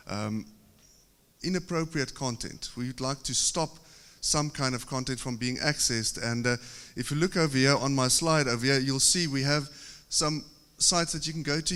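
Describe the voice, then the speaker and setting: A man with a South African accent gives a technical talk from a podium microphone. His pitch sits in an ordinary middle range, and the long reverb of a large conference hall trails behind each phrase. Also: clear, resonant tone. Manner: steady explanatory delivery, occasional filler pauses. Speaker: conference presenter.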